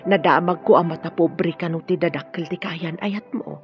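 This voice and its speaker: An actress with a husky voice and Ilocano accent playing a worried, humble, caring mother in a radio drama. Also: lowish voice, steady tone, moderate pace, muffled recording, over music, close to an everyday voice. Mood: worried, advising.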